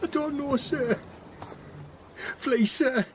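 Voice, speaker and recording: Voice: high voice, slow pace, slight background noise. An actor playing a frightened young stable boy in a radio drama, pleading and deferential. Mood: anxious, pleading.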